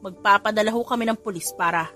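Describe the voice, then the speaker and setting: A woman's voice: mid-range voice, fast, pushed and bright, faint music behind, lightly acted. Woman narrator doing a firm, formal male assistant, close to her own voice. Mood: stern. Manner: polite but firm, formal address.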